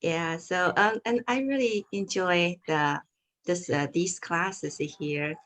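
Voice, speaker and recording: A woman with a notably low voice introduces herself to her class. She pauses often and fills gaps with ums and uhs. It sounds like a video call. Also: a bit bright. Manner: hesitant, many fillers. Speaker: student.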